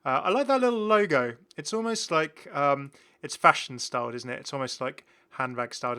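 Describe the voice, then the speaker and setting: A man's voice: mid-range voice, bright, forceful tone. A man presenting a gaming video in rambling, casual commentary, chatty and enthusiastic as he goes off on tangents.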